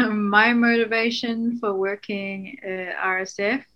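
A young woman from New Zealand, a guest chatting casually on a podcast, relaxed and full of ums. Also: mid-range voice, takes it slowly, muffled recording.

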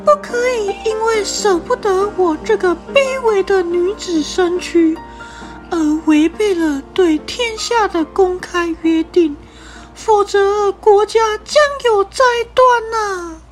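An earnest young princess acted by a woman in a radio drama speaks Taiwanese Mandarin. Her voice is strikingly high, her delivery very slow and formal, with light music behind. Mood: earnest, resolute. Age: young adult. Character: dutiful, earnest young princess, the king's daughter. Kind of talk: student radio drama acting.

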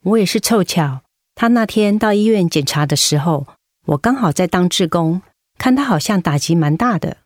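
Very expressive, sympathetic woman. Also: fairly low pitch, moderate pace, no room sound. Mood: concerned. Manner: expressive, caring. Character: sympathetic, attentive woman, an interviewer and fellow patient. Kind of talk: radio drama acting.

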